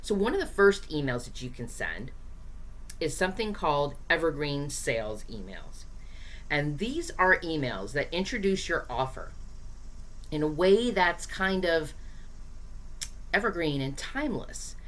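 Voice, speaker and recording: A hoarse woman coaching small business owners in a casual, chatty teaching style, speaking directly to her viewers with frequent fillers. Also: low-pitched, sing-song, unhurried, a bit bright, slight background noise, low-quality recording.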